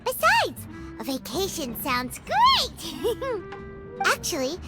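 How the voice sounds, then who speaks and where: A tiny floating fairy companion from a video game, extremely high and squeaky. Her childlike delivery swoops wildly and excitably, with loud music underneath. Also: takes it slowly, heavily acted. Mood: curious, chatty. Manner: squeaky, speaks of herself in third person. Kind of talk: video game character acting.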